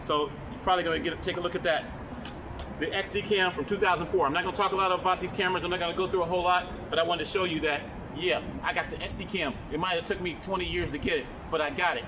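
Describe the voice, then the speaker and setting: A man talking loudly and rambling as he shows off old cameras, correcting himself often, recorded on a phone with no microphone. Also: high voice, steady tone, fast talker. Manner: loud, rambling, self-correcting. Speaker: vlogger and camera collector. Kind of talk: casual on-camera show-and-tell. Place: his broadcaster museum room. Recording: phone recording with clear background noise.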